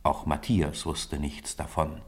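Low-voiced man narrating a story. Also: level tone, takes it slowly, husky. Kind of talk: scripted story narration for radio play.

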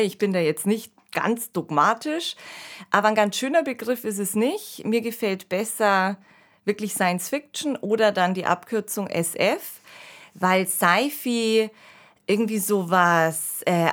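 A woman co-hosting a casual podcast discussion in an analytical, explanatory way. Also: medium pitch. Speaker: podcast co-host. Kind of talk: casual discussion of science fiction radio plays.

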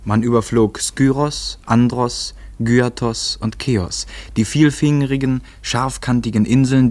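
A man's voice: lowish voice, a little mellow. A man narrating a literary radio play in a composed, articulate way.

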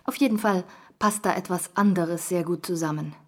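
Actress playing pushy female reporter in a radio play, firm, confrontational, a bit low pitched. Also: normal speed, lightly acted. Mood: accusing. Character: accusing, insistent female journalist.

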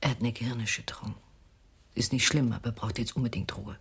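A woman's voice: a bass voice, quick pace, husky, heavily acted. A woman playing a strict young hospital nurse in a radio crime drama, with a stern, commanding manner. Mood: stern, hushed. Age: young adult.

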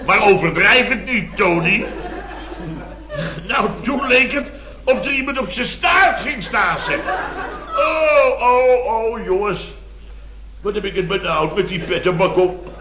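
An actor playing an exasperated, blustering boss in an old radio comedy, telling indignant tales with mimicked quotes. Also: male voice, high voice, animated, slow, a crowd in the background, noisy background. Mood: flustered, indignant. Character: blustering, exasperated male boss of a building firm. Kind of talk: 1970s Dutch radio comedy acting. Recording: muffled old radio recording, roomy, studio audience.

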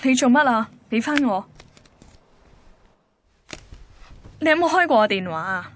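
A woman's voice: on the high side, expressive, fast talker, fairly bright tone, slight background noise. An actress playing a guarded, reluctant young girlfriend in a Hong Kong Cantonese radio drama, defensive and weary of commitment. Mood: sharp, accusing. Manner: evasive, defensive.

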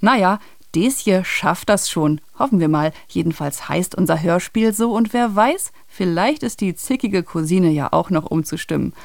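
A woman's voice: on the low side, lively intonation, moderate pace, gravelly, no echo. A woman hosting a children's radio show, chattily introducing a story straight to young listeners.

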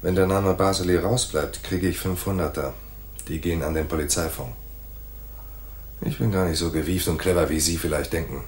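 Noir gumshoe: low, dry, matter-of-fact telling of his case, radio play with some noisy ambience. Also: fairly even intonation, moderate pace. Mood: dry, firm. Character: laconic hardboiled male private detective narrating his case. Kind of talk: 1980s radio noir narration and dialogue.

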